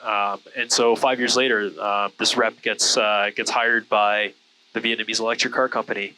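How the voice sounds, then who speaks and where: Bright-voiced, low-pitched man chatting. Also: little pitch movement, normal speed, low-quality recording. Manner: rambling, self-deprecating, heavy use of "like". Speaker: guest, content creator. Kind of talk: casual storytelling chat. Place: small airplane cockpit in flight.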